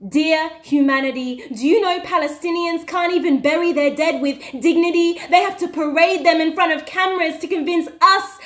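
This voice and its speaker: A woman with a strikingly high voice recites spoken word poetry. Her delivery is impassioned and deliberate, pressing each line as a dramatic accusation. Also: a bit bright. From Western Australia.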